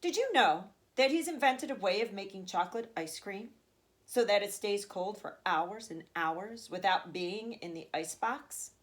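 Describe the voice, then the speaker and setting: A woman voicing an excitable, kindly old grandfather from a children's storybook, dramatic and savoring each detail. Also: mid-range voice, normal speed, natural voice. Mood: emphatic, excited. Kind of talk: children's storybook read aloud.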